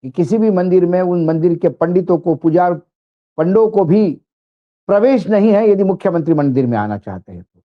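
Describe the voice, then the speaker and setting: A man's voice: high voice, animated, normal speed, highs cut off. A man presenting political commentary to viewers in an emphatic, rhetorical way.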